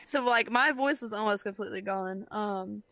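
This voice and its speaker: A hoarse woman with a raspy, worn-out voice talks in a loose, rambling way, full of ums. Her tone is bright and a little sharp, sitting at a middle pitch. She chats casually on a podcast. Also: moderate pace, no echo, rough recording. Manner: rambling explanations with frequent ums. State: hoarse, strained voice. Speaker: haunted attraction scare actor.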